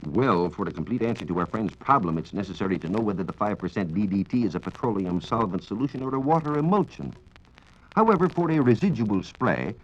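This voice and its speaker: Husky, low-voiced 1940s American radio science reporter. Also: male voice. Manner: precise, formal explaining. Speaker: science reporter answering listener questions. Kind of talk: 1940s radio scripted explanation. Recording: dull lo-fi 1940s radio recording.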